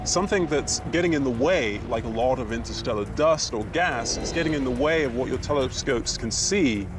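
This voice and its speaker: Male expert interviewee, medium pitch, measured explanations, clear music underneath. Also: lively intonation.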